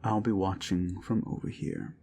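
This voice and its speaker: Creepy possessive prince, low gravelly voice, swings up and down a lot, quiet music behind. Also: male voice, sing-song, moderate pace, exaggerated voice. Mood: commanding. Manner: soft-spoken menace, drifts into whispers. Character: unhinged possessive young prince, paranoid. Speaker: voice actor. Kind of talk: audio roleplay drama. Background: faint dark music.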